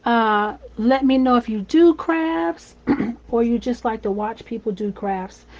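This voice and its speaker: A woman's voice: on the high side, animated, takes it slowly, lo-fi sound. A woman with a scratchy voice from laryngitis, chatting her way step by step through a live craft tutorial. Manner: chatty, friendly, step-by-step instructing. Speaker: wreath maker and shop owner. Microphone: phone or camera livestream.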